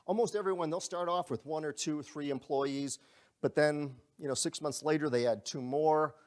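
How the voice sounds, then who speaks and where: A man giving a live sales presentation to a group in a room, pitching in an upbeat, conversational way. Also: moderate pace, darkish tone. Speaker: company presenter.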